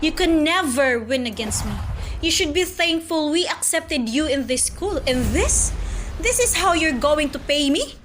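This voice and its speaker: A young woman playing a shrill scornful villain headmistress. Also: animated, unhurried, a bit bright, soft background music. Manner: scornful, domineering. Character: scheming cruel female school head. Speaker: villain school head. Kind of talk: student radio drama acting.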